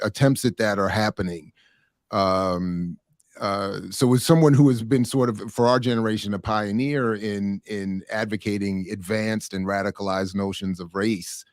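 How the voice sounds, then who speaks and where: Hesitant middle-aged man with a low voice. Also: a little mellow, no room sound, clean studio sound. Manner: hesitant, searching, stammers over phrasing. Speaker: interviewer, academic. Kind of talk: casual, thoughtful interview questioning.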